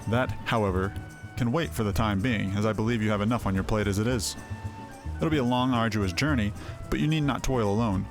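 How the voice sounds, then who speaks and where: A man's voice: fairly low pitch, brisk, lightly acted. A man voicing a stern, sceptical veteran mercenary captain in a fantasy game, speaking in a blunt, formal, archaic way. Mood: commanding. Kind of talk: voiced fantasy game dialogue. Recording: game music behind voice. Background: game music.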